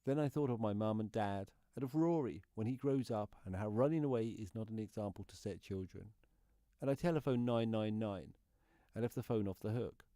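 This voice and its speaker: A man's voice: on the low side, a little fast, warm tone, no room sound, clean studio sound, close to an everyday voice. A man playing an anxious, guilt-ridden neighbour confessing in a radio play monologue. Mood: anxious, shaken.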